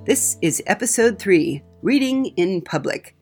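A woman's voice: medium pitch, lively intonation, a little slow, a little mellow, faint music behind. A woman hosting a podcast in a casual, chatty style. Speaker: podcast host.

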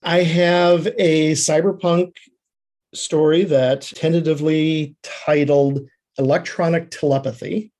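A man's voice: on the high side, level tone, slow, a little mellow. A man speaking as an interview guest, explaining his writing work in a conversational way. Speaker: guest screenwriter and fiction writer.

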